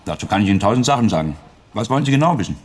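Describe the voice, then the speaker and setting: Low, lively, roguish technician. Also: male voice, very fast, husky. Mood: wry, explaining. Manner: wry, teasing, chatty. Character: roguish, clever male computer-centre technician, playful tinkerer. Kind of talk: radio play dialogue.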